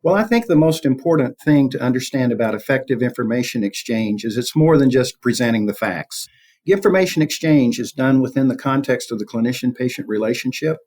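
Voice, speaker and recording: A man's voice: medium pitch, expressive, brisk, a little mellow. A man speaking as an academic interview guest, explaining conversationally and illustrating his points with examples. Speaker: guest, professor of communication.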